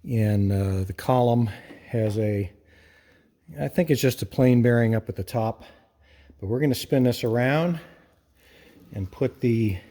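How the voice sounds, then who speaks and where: A man giving an informal, hands-on explanation of machinery in a halting, methodical way, as host of a workshop video. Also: medium pitch, mellow. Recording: reverberant workshop with clear background noise.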